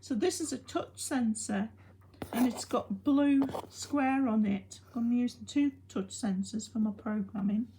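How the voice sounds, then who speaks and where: Female hobby presenter, slow and slightly high, informal and hesitant, faint noise behind. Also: warm tone, low-quality recording. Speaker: presenter of a hobby electronics project video. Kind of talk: informal unscripted demonstration.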